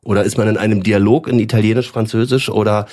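A man's voice: fairly low pitch, fairly even intonation, quick pace, a little mellow. A man hosting a radio show in a studio, chatting casually and bantering jokingly with his co-host and guest.

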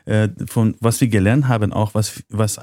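Guy speaking german as 2nd language, halting, stops to find words, dark mellow tone. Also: on the low side, fairly even intonation, no room sound, studio quality. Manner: non-native German with grammar slips, searches for words. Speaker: podcast guest. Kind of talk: casual conversation.

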